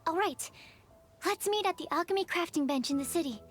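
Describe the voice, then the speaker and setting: A woman voicing a shy, earnest young alchemist in a video game, speaking softly and thoughtfully. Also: very high-pitched, expressive, fairly quick, faint music behind. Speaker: alchemist researcher.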